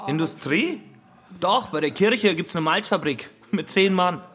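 Very high, animated excitable man. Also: measured pace. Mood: indignant. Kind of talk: radio drama acting. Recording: loud background noise with clicks.

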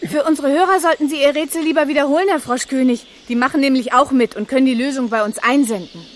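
A woman playing a brisk, resolute radio reporter who addresses young listeners in a children's radio play. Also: sits high, close to an everyday voice.

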